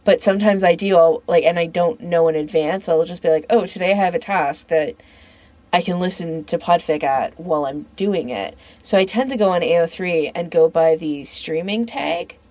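Woman chatting casually, lower-pitched, dry sound. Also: normal speed, darkish tone, no echo. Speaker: podcast co-host. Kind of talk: casual podcast discussion.

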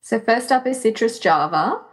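A woman's voice: fairly high pitch, lively intonation, normal speed. A woman co-hosting a podcast in a casual, conversational style. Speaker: podcast co-host.

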